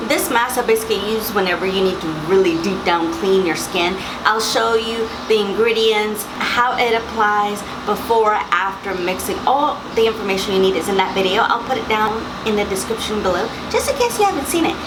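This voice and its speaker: A young woman with a slightly raspy morning voice, chatting casually through a beauty box unboxing and reading product labels aloud. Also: medium pitch, a little fast, hardly any pauses, background noise. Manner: chatty, reads labels aloud, frequent mm-hmms. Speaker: beauty vlogger.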